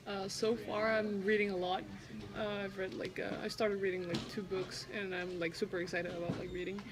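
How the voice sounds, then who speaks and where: A woman's voice: medium pitch, level tone, fairly quick. A young woman from Ecuador, a professional golfer, answering interview questions casually with lots of fillers and hesitations. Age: 20s. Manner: hesitant, many fillers, laughs often.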